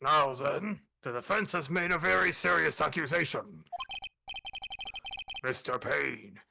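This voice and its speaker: A woman's voice: deep voice, animated, measured pace, gravelly, background noise, heavily acted. A woman voicing a blustering, easily flustered male judge in a courtroom video game, with declamatory delivery. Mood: commanding. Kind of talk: amateur game voice acting.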